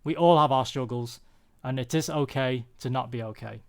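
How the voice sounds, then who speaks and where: A young British man giving a prepared personal talk, earnest and confessional, with deliberate phrasing. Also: mid-range voice, clear, resonant tone. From UK, born there.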